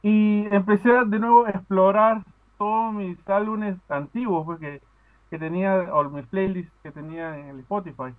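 A man from Peru talks casually over a tinny phone line, his voice higher than most men's. He hesitates a lot, restarting sentences and filling gaps with small words. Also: fairly even intonation, moderate pace. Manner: hesitant, many fillers and restarts. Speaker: podcast caller. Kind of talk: casual chat.

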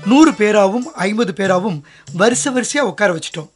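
An actor playing the disciple Peter in a radio drama, speaking in a lively, eager and colloquial way. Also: male voice, high voice, brisk. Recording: radio drama with soft music underneath.

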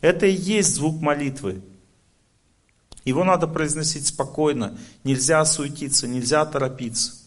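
A man's voice: on the high side, moderate pace. Man giving an instructive spiritual lecture. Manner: didactic, explaining step by step with rhetorical check-ins. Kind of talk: motivational spiritual lecture to an audience.

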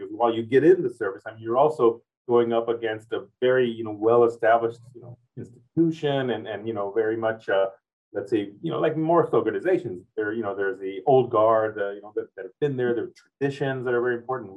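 A man's voice: mid-range voice, quick pace, recorded from a distance. A man hosting an interview, asking long, rambling questions that loop back on themselves. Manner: rambling, loops back on his phrasing. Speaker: interviewer host. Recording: tinny phone-like sound, off-mic.

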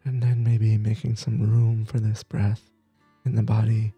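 A man leading a guided meditation as teacher, gentle and soothing, with soft breathy phrases that trail off between long pauses. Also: on the low side, monotone, dull audio, soft background music. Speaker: meditation teacher, podcast host.

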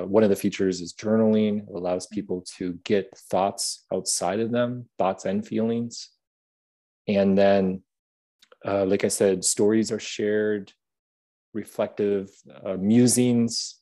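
A man with a soothing, gentle voice, talking thoughtfully as a guest in a podcast interview. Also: lowish voice. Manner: gentle, soft-spoken, thoughtful. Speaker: app creator, podcast guest. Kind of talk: reflective interview conversation.

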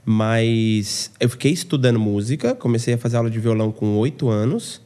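A man hosting a podcast from home, chatting casually in an animated, slangy way and often talking about himself. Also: mid-range voice, moderate pace. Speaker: podcast host. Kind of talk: casual interview chat.

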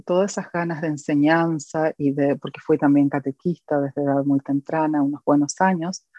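A woman with an Argentine accent talks earnestly about her path, pausing often to gather her thoughts. Her voice is mellow and warm. The sound is dry and close, with no room around it. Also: low voice, steady tone, no room sound, dull audio. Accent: Argentine Spanish. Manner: earnest, reflective, with many pauses and fillers. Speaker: guest, educator and author. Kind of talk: interview.

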